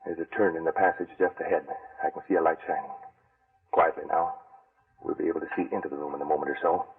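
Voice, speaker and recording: An actor playing a commanding, determined gentleman investigator in a vintage radio horror drama. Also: very deep voice, fairly even intonation, fast talker, gravelly, husky, faint music behind, heavily acted. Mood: hushed, cautious. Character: resolute commanding gentleman investigator, addressed as sir. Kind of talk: 1940s radio drama acting. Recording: muffled scratchy old radio recording.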